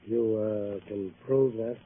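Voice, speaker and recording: An actor playing a cornered murderer in a 1940s radio drama, terse, menacing and defiant. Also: male voice, fairly low pitch, steady tone, slow. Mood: defensive. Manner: terse, threatening. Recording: muffled, hissy old radio recording.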